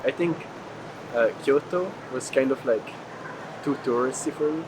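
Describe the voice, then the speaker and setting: A man's voice: mid-range voice, normal speed. A man from Hungary being interviewed as a traveler, chatting casually and loosely with lots of filler words. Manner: frequent "like" filler, rambling. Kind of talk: casual chat. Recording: clear ambient street noise.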